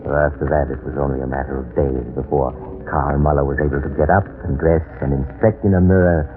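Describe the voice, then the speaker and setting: Super deep old radio narrator, solemn spooky storytelling, tinny w/ music under it. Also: male voice, fairly even intonation, quick pace. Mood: solemn, suspenseful. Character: male storyteller of an old horror tale.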